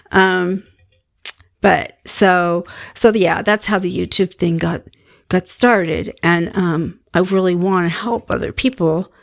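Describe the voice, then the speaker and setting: Middle-aged woman, calming voice, halting casual monologue with many fillers, very slow. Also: fairly low pitch, creaky, dry recording, clean studio sound. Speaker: meditation channel host.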